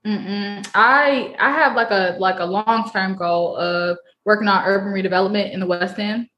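A woman's voice: mid-range voice, moderate pace, reverberant, dull audio, slight background noise. A young adult woman, a college student, chatting as a podcast guest and laughing often. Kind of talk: casual interview chat.

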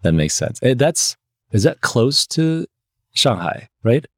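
A man from Michigan hosting a podcast, asking quick, eager questions in a casual interview. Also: mid-range voice, big pitch swings, no echo, studio quality. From United States (Michigan). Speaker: podcast host.

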